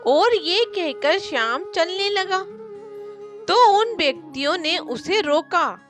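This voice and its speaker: A woman's voice: extremely high pitch, animated, slow, a bit bright. A woman reading scripted children's stories as a narrator, with music behind her. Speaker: storyteller. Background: background music.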